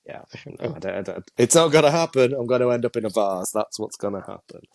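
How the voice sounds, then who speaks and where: A middle-aged man, chatting casually as co-host, a bit stuffy from a cold and quick to laugh at himself. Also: mid-range voice, expressive, gravelly. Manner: chatty, self-mocking, laughs often. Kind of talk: casual chat.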